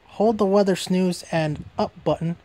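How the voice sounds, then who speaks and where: A man with a fairly high voice presents a hands-on gadget review. He talks informally, like an everyday hobbyist video, and tends to restate his points as he goes. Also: moderate pace, mellow, slight background noise. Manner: informal, repeats and rephrases himself. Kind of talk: casual hands-on product review.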